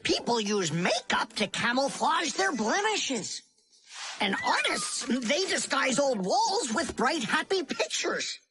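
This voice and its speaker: A woman playing a lively cartoon explainer, narrating scripted facts for children in an instructive, expressive way. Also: high voice, slow, heavily acted. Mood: upbeat explaining.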